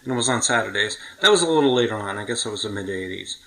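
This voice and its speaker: A man's voice: lowish voice, animated, very fast, sharp tone, low-quality recording. A middle-aged man with an American accent, hosting a podcast in casual, reminiscing chat. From United States. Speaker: podcast host.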